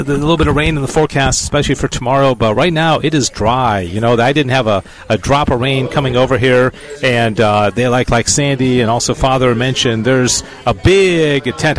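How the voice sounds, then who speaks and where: A man reporting live on location, chatty and enthusiastic, often restarting his sentences. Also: medium pitch.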